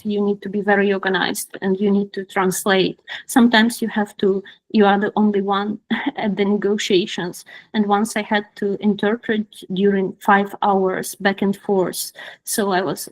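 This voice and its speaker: A woman from Ukraine with a Ukrainian accent, giving an informal personal talk on a video call, pausing to search for words. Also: mid-range voice, darkish tone, low-quality recording. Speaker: guest speaker, product designer. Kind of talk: personal career talk to an online group.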